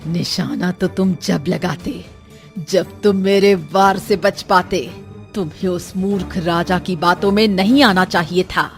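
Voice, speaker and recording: Woman playing a slow, menacing forest sorceress. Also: lowish voice, creaky, faint music behind. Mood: taunting. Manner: slow, taunting, threatening.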